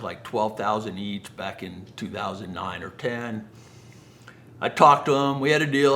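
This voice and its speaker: A man's voice: mid-range voice, pushed and bright. A middle-aged man telling business anecdotes in a conversational, folksy way, often acting out quoted dialogue. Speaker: speaker, home builder. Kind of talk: anecdotal business storytelling talk.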